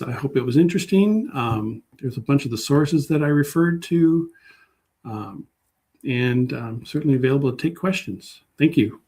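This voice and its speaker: Male guest expert, medium pitch, informal, thinking aloud with halting rephrasing. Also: a little mellow. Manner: hesitant, searching for words, rephrasing. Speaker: public historian, guest speaker. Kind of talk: informal talk and question answering.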